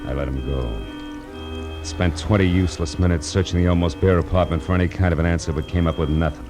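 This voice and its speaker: A tough American private eye acted by a man from a 1940s radio noir play, speaking low and clipped. He is sardonic and slangy, and music runs with him on the vintage recording. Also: fairly quick, natural voice. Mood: dry narration. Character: tough, wisecracking male private detective, hard-boiled narrator.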